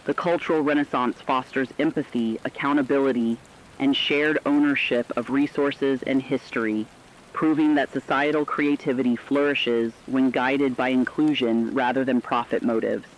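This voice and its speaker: A man playing an earnest radio news correspondent, reading scripted reports in a formal, measured way. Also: medium pitch, fairly even intonation, muffled recording, natural voice.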